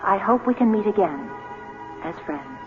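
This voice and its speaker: An actress playing a composed, gentle woman reading out her farewell letter in a measured radio drama style. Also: medium pitch, expressive, close to an everyday voice. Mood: regretful, composed. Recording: tinny vintage radio, music behind.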